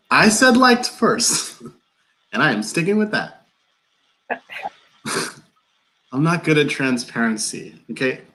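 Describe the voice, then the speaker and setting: A man in a live play reading, playing an awkward, nervous man who rambles through a confession in halting, over-explained dialogue. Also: medium pitch, fairly bright tone, lightly acted.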